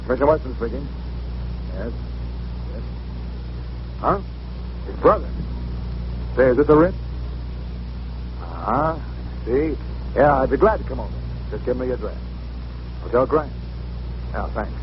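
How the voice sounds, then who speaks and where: An actor playing a blunt, frustrated, slangy police commissioner in 1940s radio style. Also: male voice, mid-range voice, lively intonation, measured pace, pauses often, natural voice. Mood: businesslike. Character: gruff, frustrated, plain-spoken male police commissioner. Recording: noisy, muffled old radio recording.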